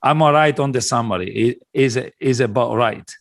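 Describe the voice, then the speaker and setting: A man hosting a conversational interview podcast, phrasing his questions in a halting way with pauses. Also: mid-range voice.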